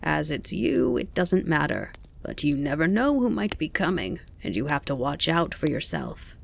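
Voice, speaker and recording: Woman acting a crabby male grasshopper, rasping, low and muted, curt, self-important, storybook creature. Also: big pitch swings, normal speed, exaggerated voice. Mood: gruff. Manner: curt, grumbling. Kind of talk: animal storybook character voice.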